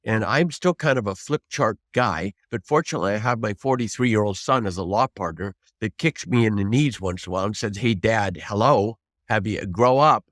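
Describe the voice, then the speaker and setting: A man in his seventies, a trial lawyer teaching advocacy in an emphatic, deliberate, conversational way with rhetorical prompts. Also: fairly low pitch, fairly bright tone, no room sound, clean studio sound. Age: 70s. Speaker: trial lawyer, interview guest.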